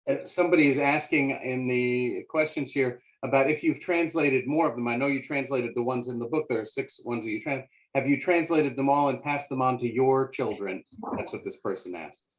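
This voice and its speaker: A man's voice: medium pitch, normal speed, hardly any pauses, poor audio. A man moderating a book discussion, asking questions earnestly and often stammering as he restarts his sentences. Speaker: interviewer, moderator. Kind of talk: conversational interview.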